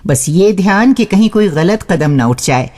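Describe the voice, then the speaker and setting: Female radio host, lowish voice with lively, swooping delivery, scripted and addressed to listeners as friends. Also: normal speed.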